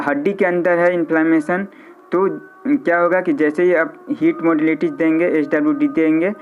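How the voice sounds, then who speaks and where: A man with an Indian accent giving an informal live online lecture, repeating his phrases and mixing in English technical terms. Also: on the high side. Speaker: physiotherapy lecturer hosting a live online class. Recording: slightly dull, band-limited audio.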